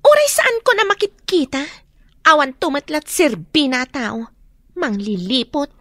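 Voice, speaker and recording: A woman's voice: sits high, wildly swooping pitch, unhurried, sharp tone, no echo, clean studio sound, full character voice. A woman playing a smug, scornful mistress in a radio drama. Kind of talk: Ilocano radio drama acting.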